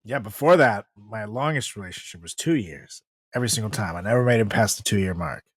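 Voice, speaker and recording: A man's voice: lowish voice, lively intonation, a little fast, slightly rough, no echo. A man co-hosting a podcast in casual chat, joking and laughing often as he hypes the show. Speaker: podcast co-host.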